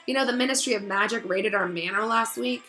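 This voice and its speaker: A woman voicing an arrogant, petulant schoolboy bully in a storybook, with a slow disdainful drawl that turns snappish. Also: medium pitch, bright, forceful tone, faint music behind, natural voice, low-quality recording. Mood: smug.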